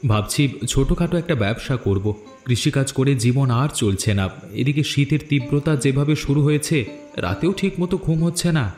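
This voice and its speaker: A man acts a troubled, good-hearted farmer in an animated village tale. He talks in a mid-range voice with earnest worry. The sound is echoey, with music underneath. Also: mid-range voice, brisk, natural voice. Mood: worried, earnest. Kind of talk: animated fairy-tale cartoon dialogue.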